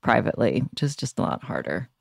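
A woman with a noticeably creaky voice hosts a conversational podcast interview. Her phrasing is measured and reflective. The sound is dry and close. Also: rumbling low, sing-song, dry recording. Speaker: podcast host.

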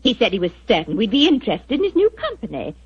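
A dull vintage radio recording of a young wife. She is sweet but persuasive, with a husky edge and a very lively, swooping delivery in period radio drama style. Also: a little high, fast talker, heavily acted. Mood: enthusiastic. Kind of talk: 1940s radio drama acting.

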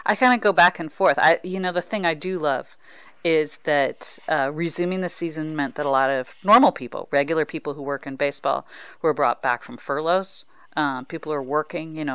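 Woman sports host with creaky, clear voice. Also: low-pitched, a bit bright, dry recording. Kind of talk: casual sports conversation.

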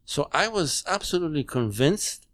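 A man in his nineties who grew up speaking German, recounting memories in a halting, measured way with many restarts, at home. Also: on the high side, very animated, a bit bright, no echo, studio quality. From Poland. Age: 90s. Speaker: interview guest. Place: home dining room.

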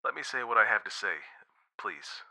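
A man voicing an earnest, devoted young man in an audiobook, soft and gentle. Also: lowish voice, expressive, fairly quick, close to an everyday voice. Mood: reluctant, choked. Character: earnest, devoted young man of about twenty-three, tender boyfriend. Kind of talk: audiobook character acting.

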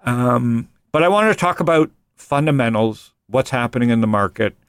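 A man hosting a casual finance podcast, loose and joking, rambling with quick asides. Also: mid-range voice, lively intonation, clear, resonant tone, no echo, studio quality. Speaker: podcast host and venture investor.

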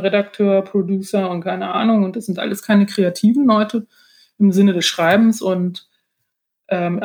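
A woman's voice: medium pitch, a little mellow. Woman explaining things in an informal interview. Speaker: podcast guest, author.

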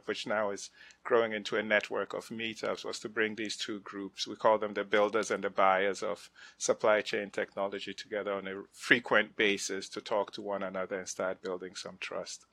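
A middle-aged man from West Africa answers interview questions with animated energy, stumbling over his words as they rush out. His low voice has a gravelly edge but a bright, forceful tone. The recording is dry and close. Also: no echo. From West Africa (Ghana, Nigeria). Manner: animated, frequent restarts and laughs. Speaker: venture capital investor, guest. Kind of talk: conversational interview.

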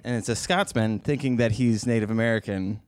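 A man's voice: fairly low pitch, brisk, smooth, no room sound. A man co-hosting a comedy podcast, telling funny stories casually and stammering as he starts sentences.

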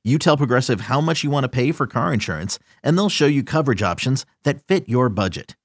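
A man delivers a scripted radio commercial in an announcer's style. His voice sits at a medium pitch and the sound is dry, free of room echo. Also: animated, brisk.

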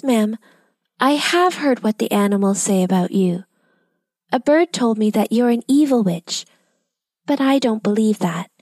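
A woman voicing a brave, kind young girl who talks politely and calmly. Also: on the high side, no echo, voice pushed up. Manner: polite and calm.

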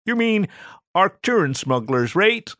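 A man voicing an impulsive young male alien who squeaks and rushes his words. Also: sing-song, fairly bright tone, no echo, clean studio sound, heavily acted. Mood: excited. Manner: squeaky, high and eager.

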